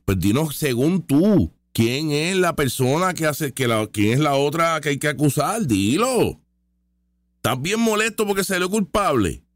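Male host, sarcastic and argumentative, with a rather high voice that swoops all over. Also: no echo. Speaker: online commentary host. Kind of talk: live reaction commentary.

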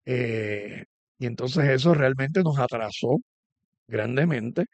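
A man's voice: mid-range voice, expressive, takes it slowly, vocal fry, a little mellow, dry recording. A man hosting a conversational religious interview. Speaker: host.

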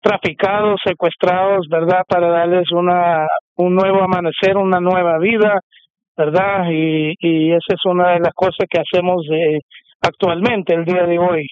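Guest, male, from the US; high, unhurried, halting testimony on a muffled radio feed. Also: level tone, smooth, dry recording. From United States. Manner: halting, many fillers and restarts. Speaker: interview guest. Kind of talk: testimony interview. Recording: dull muffled radio sound.

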